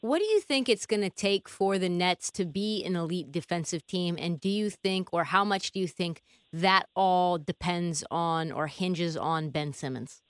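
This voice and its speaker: A woman's voice: fairly low pitch, measured pace, no room sound, studio quality. A woman hosting a sports show, speaking in a casual, punchy, irreverent way. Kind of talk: casual scripted narration and interviewing.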